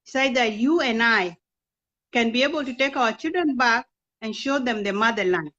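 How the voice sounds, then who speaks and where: A woman's voice: a little high, moderate pace, fairly bright tone. Earnest woman, persuasive, muffled lo-fi audio. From Kenya. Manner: earnest, persuasive, asks rhetorical questions. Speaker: interview guest. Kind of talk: impassioned political talk.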